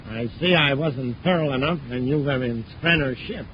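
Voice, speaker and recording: An actor playing a forceful man of action in a 1950s space radio drama, with a dramatic delivery. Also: medium pitch, normal speed, lightly acted. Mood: menacing, brisk. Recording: muffled, noisy 1950s radio recording.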